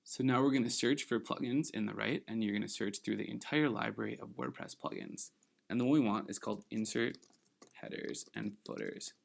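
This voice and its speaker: A man hosting a screen-recorded software tutorial, giving upbeat, encouraging step-by-step instructions while slightly losing his voice. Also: on the low side, brisk, creaky. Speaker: tutorial host.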